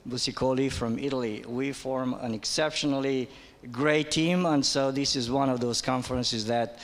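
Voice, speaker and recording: A man from Romania giving a formal conference address, halting often with ums and uhs. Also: mid-range voice, steady tone. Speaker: panel speaker. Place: conference hall. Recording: long hall reverb.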